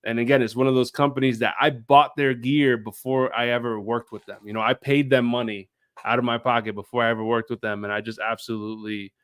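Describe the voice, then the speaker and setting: A man's voice: mid-range voice, little pitch movement, fairly quick, clear, resonant tone, dry recording, studio quality. A man speaking informally as a guest on a show, a video creator explaining things in casual chat.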